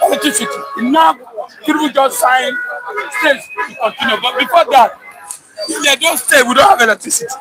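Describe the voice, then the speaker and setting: A Nigerian man with a high, insistent voice and a Nigerian accent makes his case point by point, breaking into Pidgin. Music plays clearly underneath him. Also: fairly quick, rough recording. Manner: emphatic, mixing Pidgin. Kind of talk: heated street debate.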